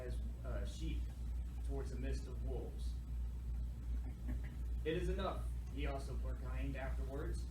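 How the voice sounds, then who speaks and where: A man's voice: medium pitch, natural voice. Distant, echoey man playing a solemn disciple. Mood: proclaiming, reverent. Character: devout humble disciple of Jesus, burdened by coming betrayal. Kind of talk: church dramatization monologue. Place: live in a church, picked up from afar. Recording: distant echoey live church recording, noisy.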